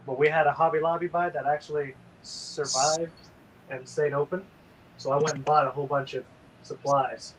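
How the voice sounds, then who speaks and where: A man's voice: a little high, fairly even intonation, moderate pace, slight background noise, rough recording. A man hosting a casual painting livestream, rambling and sleepy with lots of ums.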